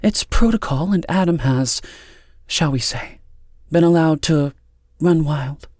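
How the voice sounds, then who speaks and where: A man's voice: fairly high pitch, animated, moderate pace, darkish tone, no room sound, studio quality, pitched above the performer's own voice. A man voicing a stern, disdainful woman in authority for an audiobook, speaking in a clipped, impatient and condescending way. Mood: cool, strict.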